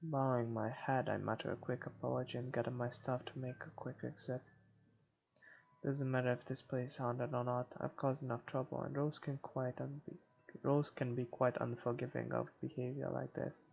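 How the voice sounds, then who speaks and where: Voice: mid-range voice, level tone, normal speed, gravelly. A person reading visual novel narration aloud for a game playthrough, with a muffled recording and game music behind. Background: game background music.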